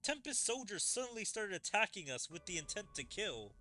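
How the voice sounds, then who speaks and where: A man puts on a loyal soldier from a fantasy game, urgent and indignant before his king. The voice is raised and bright, pushed hard with lively pitch movement. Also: fairly quick, exaggerated voice. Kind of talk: reading game dialogue aloud in character.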